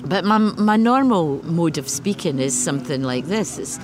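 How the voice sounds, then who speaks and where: A woman's voice: fairly low pitch, animated, moderate pace, music in the background. A woman with a Glasgow accent, a poet talking in an interview and reading a poem aloud in a thoughtful manner.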